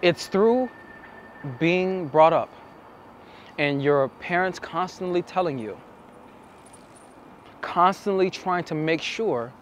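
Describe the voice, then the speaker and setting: A young man explaining to camera as a dating coach, with emphatic, deliberate phrasing and pauses, recorded outside on a city street. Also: on the high side. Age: young adult. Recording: outdoor city street with traffic noise.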